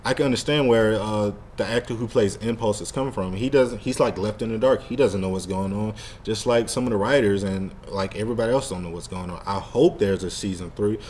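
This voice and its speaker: A man's voice: fairly low pitch, fairly quick, few pauses, darkish tone, slight background noise. A man co-hosting a casual fan podcast, talking in a rambling, slangy way with lots of "like". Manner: rambling, heavy use of "like" and slang. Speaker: podcast co-host.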